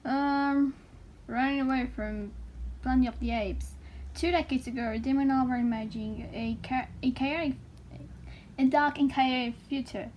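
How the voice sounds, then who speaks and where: A young woman casually reads aloud and comments, halting and excitable, swearing freely. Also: high-pitched, a little hiss or hum. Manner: excitable, foul-mouthed, halting as she translates. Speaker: vlogger. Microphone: handheld phone filming the page.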